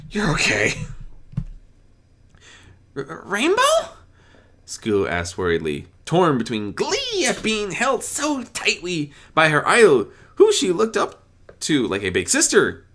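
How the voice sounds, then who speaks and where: A man reading a fan story aloud with expressive, dramatic narration, now and then stumbling over words. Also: sits low. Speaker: amateur story reader.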